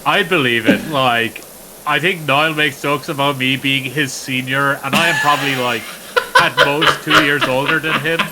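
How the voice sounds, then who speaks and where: A man's voice: mid-range voice, moderate pace, background noise. A man in his late twenties chatting casually on a game stream, with wry humor and frequent fillers. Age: late 20s. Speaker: co-host. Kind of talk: casual chat while gaming.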